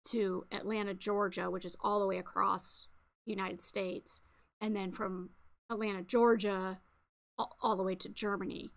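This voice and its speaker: Raspy woman chatting on a craft livestream. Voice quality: raspy, fairly low voice. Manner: chatty, rambling, many ums and restarts. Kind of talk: casual live chat answering viewers.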